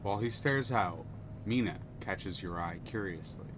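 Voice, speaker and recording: A man's voice: sits low, normal speed, background noise. A man narrating a story game aloud on a live stream, reading expressively. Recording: music playing under the voice.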